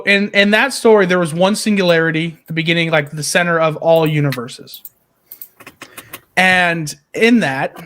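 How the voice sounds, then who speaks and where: A man with a notably high voice, chatting casually as a podcast co-host. He is excitable and emphatic, pitching fan ideas with the odd swear word.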